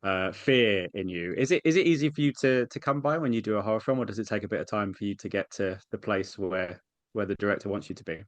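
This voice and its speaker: Fast-talking low-voiced male interviewer. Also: no echo. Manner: rambling questions with restarts. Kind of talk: press junket interview.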